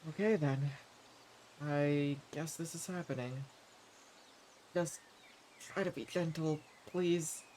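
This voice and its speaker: A man playing a gentle, level-headed wandering adventurer in an audio roleplay, speaking haltingly and directly to the listener. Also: on the high side, a little hiss or hum. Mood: nervous, pleading.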